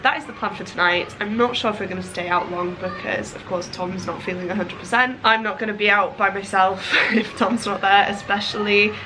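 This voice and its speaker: A woman's voice: medium pitch, steady tone, brisk, few pauses. Stuffy nasal woman rambling to camera on holiday, noisy background hiss. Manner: chatty, rambling with fillers and laughs. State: congested with a cold, nasal. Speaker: vlogger. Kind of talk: casual chat to camera. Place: holiday room indoors, then a windy beach outdoors.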